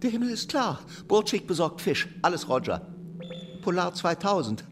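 A man's voice: sits high, sing-song, normal speed, a little hiss or hum. A man playing a tiny, odd, secretive and fussy male creature in a children's radio play, with quirky clipped speech. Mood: officious, secretive.

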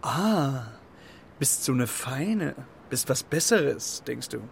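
An actor playing a cheeky, streetwise pigeon in a children's radio play, with a gravelly voice and a sardonic, colloquial swagger. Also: male voice, medium pitch, lively intonation, slow pace, a little hiss or hum. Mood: mocking.

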